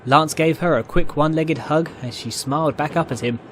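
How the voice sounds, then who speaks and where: A man's voice: on the high side, brisk, a little hiss or hum. A man reading a story aloud as a scripted, dramatic narration. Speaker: narrator, fan fiction reader.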